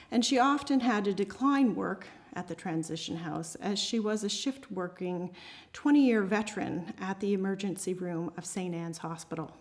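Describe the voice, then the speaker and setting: A woman's voice: mid-range voice, a little mellow. A woman, an amateur writer reading and introducing her own work aloud to a group.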